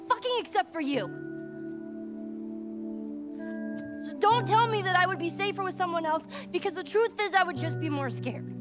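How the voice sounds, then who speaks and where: Stubborn american teen girl, high voice that jumps around a lot, blunt, game cutscene w music behind. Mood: hurt, pleading. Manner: blunt, defiant. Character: defiant foul-mouthed teenage girl survivor, wounded, stubborn. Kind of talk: cinematic video game drama acting.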